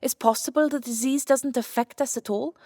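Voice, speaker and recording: A woman playing a brisk, clinical scientist wife in an audiobook drama, matter-of-fact and firm. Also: high voice, fairly even intonation, a little mellow, dry recording, studio quality. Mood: clinical, analytical. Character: Santa's brisk, clinical scientist wife, immortal, coolly determined.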